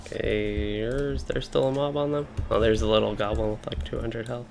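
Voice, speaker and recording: A man's voice: mid-range voice, fairly even intonation, normal speed, gravelly, darkish tone, faint music behind. A young man from Kentucky, casually commenting while he plays an online game, thinking aloud with many fillers and restarts. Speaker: game streamer.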